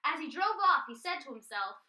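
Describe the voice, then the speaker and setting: A woman's voice: high voice, a little fast. A teenage girl reading aloud a scripted story and presentation as a student storyteller. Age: teens. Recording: distant mic, loud steady background noise.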